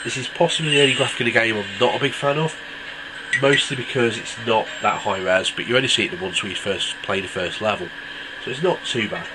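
A man's voice: lowish voice, fast talker, very noisy. Congested man reviewing a game. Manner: relaxed, rambling with many ums. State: congested from a cold. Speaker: app reviewer. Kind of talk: casual tech review. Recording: loud game music behind.

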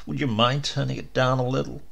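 A man's voice: medium pitch, fairly even intonation, a little fast, gravelly, a bit bright, breathy edge, full character voice. A man voicing a weary, irritable working husband in a dramatised story reading, sighing and grumbling. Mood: irritated.

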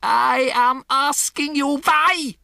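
A storybook narrator voicing a furious, imperious female witch leader, shrieking with drawn-out, emphatic words. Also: medium pitch, wildly swooping pitch, husky, no echo, studio quality, exaggerated voice. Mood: shrieking rage. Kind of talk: theatrical storybook character voice.

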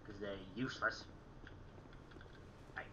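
A man's voice: takes it slowly, highs cut off, background noise. A young man with a slightly phlegmy, sick-sounding voice, chatting casually over his gameplay from a small room at home. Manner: chatty, self-mocking, frequent throat clearing. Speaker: gaming channel host.

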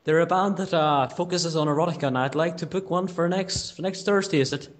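Quick-talking Northern Irish man, slightly high voice, Derry accent; cheeky prank caller with a mock-indignant edge. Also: a little hiss or hum. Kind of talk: mock-serious prank phone call. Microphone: telephone call.

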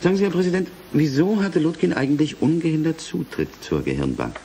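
A man's voice: a little high, very animated, fairly quick, mellow, a little hiss or hum. A man in a German radio play acting a formal, inquisitive colleague who speaks articulately. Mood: inquiring. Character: probing, formal colleague questioning a university president.

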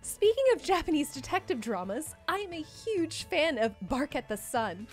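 Woman voicing a high, lilting, polite princess girl. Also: very animated, moderate pace, faint music behind. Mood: gushing. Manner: formal, precise, exclamatory speech. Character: polite foreign princess girl, earnest, enthusiastic about Japanese dramas. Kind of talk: dramatic read of visual novel dialogue.

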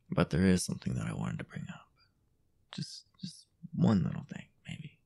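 A man performs a teasing, possessive young boyfriend in intimate roleplay audio. His low voice is husky and breathy, swinging widely between murmur and lift. He coaxes coyly, with hesitant pauses and a dominant edge. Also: dark tone, full character voice. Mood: coy, affectionate. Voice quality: husky, gravelly, often breathy or whispered. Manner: hesitant, coy, coaxing murmur with drawn-out pauses.

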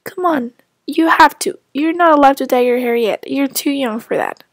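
A woman's voice: high voice, normal speed, gravelly, dry recording, clean studio sound. A woman voicing a mother who firmly scolds her young daughter. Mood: scolding. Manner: firm, scolding.

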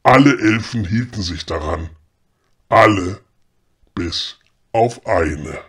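A man playing a storyteller who reads a mock-solemn fairy tale deliberately and with dramatic relish. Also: lowish voice, lively intonation, fairly bright tone, husky, full character voice.

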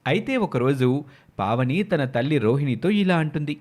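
A man's voice: a little high, animated, normal speed, a little mellow. A man narrating a scripted moral story as a dramatic storyteller.

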